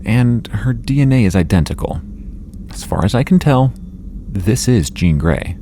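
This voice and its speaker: Casting: assured scientist hero, dark soft tone, varied intonation, clinical manner. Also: male voice, fairly low pitch, animated, measured pace, slight background noise. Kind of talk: audio drama acting.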